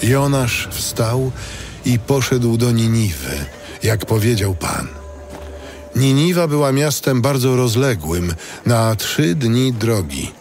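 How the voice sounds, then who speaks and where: A man playing a solemn narrator, reading a scripted audio Bible in a measured way. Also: medium pitch, very animated, music in the background. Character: solemn male biblical narrator.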